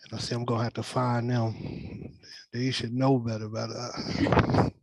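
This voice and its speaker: A man's voice: mid-range voice, level tone, unhurried, creaky, dry recording, poor audio. A man with a mid-range voice hosts an online meeting in a loose, colloquial way. He teases and jokes as he leads the group. His video-call audio is dry, with very little room sound. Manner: joking, teasing, colloquial. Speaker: host leading a virtual table read. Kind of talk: casual meeting talk. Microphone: video call microphone.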